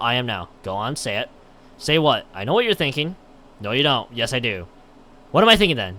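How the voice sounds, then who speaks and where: A man's voice: fairly high pitch, fairly bright tone. A man livestreaming, chatting and reading a visual novel aloud, often stumbling over and rereading his lines. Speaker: live streamer.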